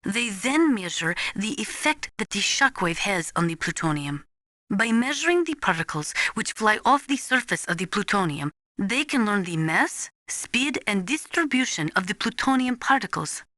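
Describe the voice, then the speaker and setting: Game casting: earnest female weapons expert advising a soldier, crisp forward tone, measured lecturing delivery, very dry studio sound. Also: mid-range voice, big pitch swings, sharp tone, no echo. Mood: measured, informative. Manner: measured, precise, didactic. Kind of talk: scripted expository video game voice acting.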